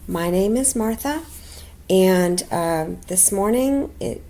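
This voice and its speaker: A middle-aged woman narrating a personal finance video as a voiceover, slightly raspy from illness, halting with many ums and restarts. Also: mid-range voice, lively intonation, mellow. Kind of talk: casual voiceover narration over a screen recording. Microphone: home voiceover mic.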